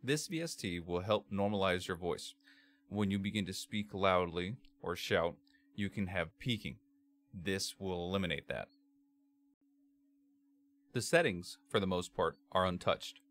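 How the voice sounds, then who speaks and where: Male tutorial presenter, bass-heavy and fairly low, reading out instructions step by step at a measured delivery. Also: a bit bright. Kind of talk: scripted software tutorial narration. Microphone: computer microphone, screen-capture voice-over.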